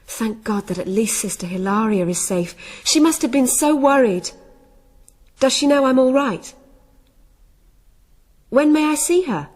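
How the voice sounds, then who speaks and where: High-voiced earnest young noblewoman. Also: animated, unhurried, pauses often, a little mellow. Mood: remorseful, intense. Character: imperious, headstrong young noblewoman, remorseful and earnest. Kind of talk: radio drama acting.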